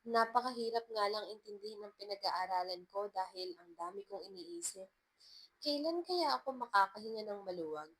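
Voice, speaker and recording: A woman's voice: mid-range voice, animated, normal speed, a bit bright, rough recording. A woman reading a first-person love story as a scripted narration.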